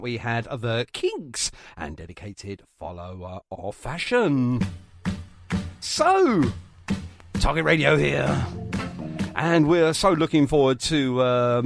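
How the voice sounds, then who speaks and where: An English man hosting a live radio show, bantering and interviewing in an effusive, jokey, matey way. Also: medium pitch.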